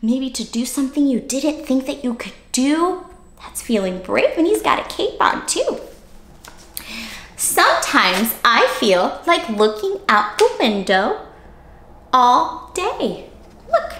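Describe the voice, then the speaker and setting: Female story-time host, very lively pitch swings, unhurried pace, playful and child-directed, room echo. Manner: animated, exaggerated child-directed delivery with gasps and playful questions. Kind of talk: interactive children's read-aloud.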